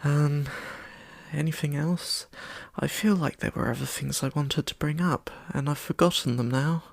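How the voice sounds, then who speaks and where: A man's voice: a little high, fairly even intonation, normal speed, creaky, no echo. A man co-hosting a podcast in casual chat, understated and hesitant as he rambles along. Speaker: podcast co-host.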